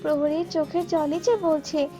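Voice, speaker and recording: A woman's voice: high-pitched, steady tone, normal speed, music in the background, natural voice. A woman playing a brave, confident young princess devoted to her father in a children's cartoon fairy tale, speaking earnestly and resolutely. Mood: earnest reassurance.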